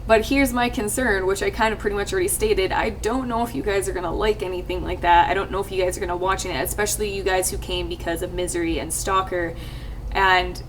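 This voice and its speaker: A young woman talking casually to her viewers, rambling with lots of fillers and restarts, her voice a little husky. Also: fairly even intonation, fast. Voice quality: slightly husky, low-ish voice. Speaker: YouTube let's player. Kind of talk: casual talk to camera. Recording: distant mic with faint ambient noise.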